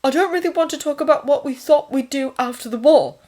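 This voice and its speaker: Female narrator as young male orderly: guarded, clipped, easily flustered, raised pitch, lively swings. Audiobook dialogue. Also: moderate pace. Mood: guarded, pained. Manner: stiff, clipped, defensive.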